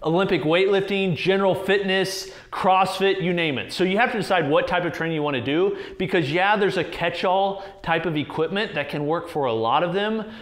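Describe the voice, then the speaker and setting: A man's voice: high-pitched, fairly quick, few pauses. A man in his thirties with an American accent, giving an informal, direct and plain-spoken explainer to camera as a video host in a garage gym. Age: 30s. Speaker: fitness equipment reviewer and video host. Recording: roomy echo.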